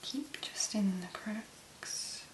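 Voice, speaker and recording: A woman doing a soft-spoken ASMR demonstration in a gentle, breathy, unhurried murmur with pauses. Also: mid-range voice, expressive, echoey room. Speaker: ASMR video creator.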